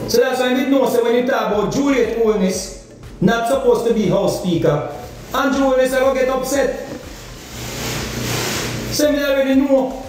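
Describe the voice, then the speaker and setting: High-voiced Jamaican man ranting on his phone. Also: normal speed, pauses often, darkish tone. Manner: insistent, rhetorical questions, emphatic repetition. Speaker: political vlogger. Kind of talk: political commentary rant. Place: indoor kitchen or room. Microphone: phone held at arm's length. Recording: echoey room, far from the mic, faint music.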